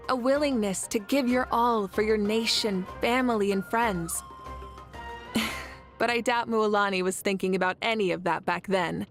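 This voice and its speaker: A woman playing a calm, confident young warrior leader in a video game, speaking in a measured, thoughtful and dignified way. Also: medium pitch, lightly acted. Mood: reflective. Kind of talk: scripted game character dialogue. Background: music.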